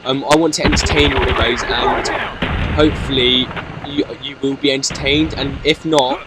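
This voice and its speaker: Hesitant British teen guy, monotone. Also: mid-range voice, moderate pace, very noisy. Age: late teens. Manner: hesitant, full of ums and restarts. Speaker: gaming YouTuber. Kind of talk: gameplay commentary. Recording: loud game gunfire behind. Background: loud video game gunfire and explosions.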